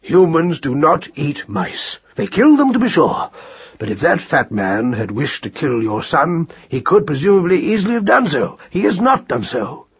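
A man's voice: on the high side, big pitch swings, normal speed, breathy edge, lo-fi sound, voice pushed up, heavily acted. A man voicing a kindly, sensible uncle rabbit who is plain-spoken and authoritative. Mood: firm, reassuring.

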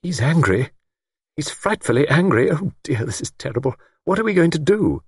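Man putting on an anxious genteel spinster, pitched up. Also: big pitch swings, moderate pace, gravelly, breathy edge, no room sound, studio quality, full character voice. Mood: distressed reproach. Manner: fluttery, polite, reproachful. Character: anxious, genteel spinster lady, fussy and fretful. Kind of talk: audiobook character acting.

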